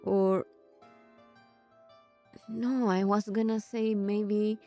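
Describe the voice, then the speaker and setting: Casting: female gamer streamer, mid-pitched, unhurried, reasoning aloud with exclamations, game music underneath. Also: flat delivery, warm tone. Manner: thinks aloud, halting, exclamatory. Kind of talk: casual game reaction commentary.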